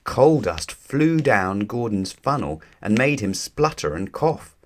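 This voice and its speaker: A man narrating a children's storybook aloud in an expressive, deliberate storytelling manner. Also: lowish voice, slight background noise.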